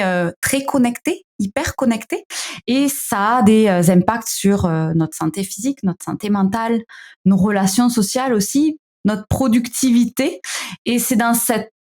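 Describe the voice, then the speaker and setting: A young woman with a southern French accent, a guest answering interview questions in a relaxed way, with frequent fillers and restarts. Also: medium pitch. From southern France. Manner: hesitant, many fillers and restarts, laughs often. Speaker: podcast guest, founder of a digital wellbeing company. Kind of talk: conversational interview.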